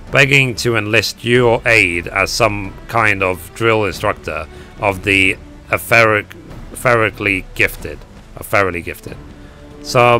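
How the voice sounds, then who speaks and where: A man voices a confident male officer from a fantasy game, brisk and commanding. The delivery is low-pitched and a little hoarse, with faint game music behind it. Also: sharp tone, exaggerated voice.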